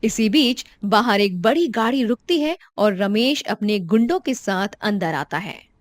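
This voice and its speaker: Woman telling a cartoon story, calm and even, dry close mic. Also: mid-range voice, a little slow, no room sound. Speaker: narrator. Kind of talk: scripted storybook narration.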